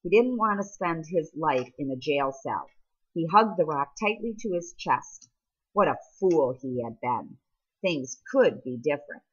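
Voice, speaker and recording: A woman reads a story aloud in a husky, slightly breathy voice. Her delivery is measured, phrase by phrase, with endings that fall away softly. The recording is somewhat dull and lo-fi. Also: deep voice, lively intonation. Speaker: audiobook reader. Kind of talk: scripted story narration, reading a novel chapter aloud.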